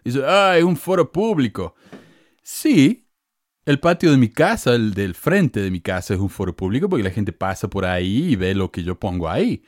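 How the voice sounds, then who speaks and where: A man's voice: lowish voice, sing-song, normal speed, no room sound, clean studio sound. A middle-aged man from Córdoba, Argentina, with a Cordoba accent, hosting his channel in a casual, sarcastic and indignant monologue. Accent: Cordoba Argentine Spanish. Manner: indignant, sarcastic, rising emphatic peaks. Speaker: channel host.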